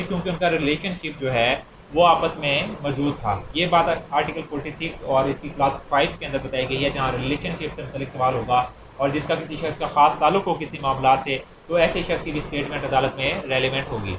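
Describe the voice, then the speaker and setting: A man's voice: on the high side, brisk, few pauses. A man with a Pakistani accent lecturing on law in a didactic, step-by-step way, repeating himself as he explains through worked examples. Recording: echoey room with clear background noise.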